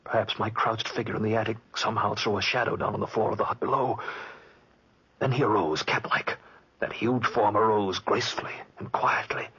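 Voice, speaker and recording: An actor playing an exhausted, frightened traveler telling of a terrible night, husky and haunted, in 1940s radio drama style. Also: male voice, fairly low pitch, steady tone, fairly quick, fairly bright tone, exaggerated voice. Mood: haunted, tense. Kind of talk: 1940s radio acting, first-person suspense narration. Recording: muffled vintage 1940s radio recording.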